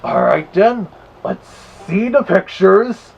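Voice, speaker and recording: A chatty cartoon wolf played by a man hosts a casual review vlog. The voice sits a little high for a male, with a gravelly creak and a husky, rough edge. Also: sing-song, slow, highs cut off, exaggerated voice. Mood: chatty, easygoing. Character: Big Bad Wolf, chatty cartoon villain reviewing records. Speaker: vlog host.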